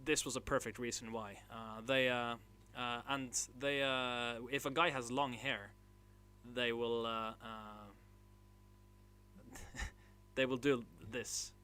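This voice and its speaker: Slow-talking man, halting. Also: mid-range voice, fairly even intonation, bright, forceful tone, smooth. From northern Norway. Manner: halting, lots of filler sounds and restarts. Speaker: livestream host. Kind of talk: casual livestream chat, reading chat messages.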